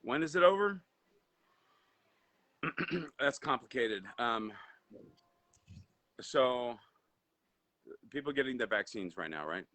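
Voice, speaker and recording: A man's voice: medium pitch, lively intonation, takes it slowly, long pauses, pushed and bright. A man who grew up in Indonesia, with Indonesian as his first language, talking as a guest entrepreneur in a casual live interview over a video call. He rambles, with many fillers and restarts.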